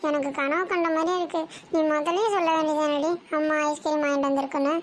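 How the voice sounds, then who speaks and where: A performer voicing a lazy, scheming little boy in a cartoon comedy, whiny and theatrical, with a pitched-up voice. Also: flat delivery, fast, slight background noise. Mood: feigning, indignant.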